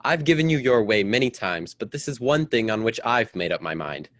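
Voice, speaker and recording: A man playing a stuffy, domineering politician husband in a radio melodrama, with a brisk, formal, patronizing manner. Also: mid-range voice, lively intonation, a bit bright, dry recording, lightly acted. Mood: insistent, indignant. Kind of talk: old-time radio drama acting.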